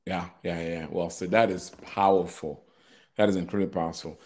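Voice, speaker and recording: Low-voiced man leading a team talk, thoughtful and good-humored. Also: fairly even intonation, normal speed, a little mellow, husky. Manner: reflective, laughs easily, faith-minded. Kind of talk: casual team chat.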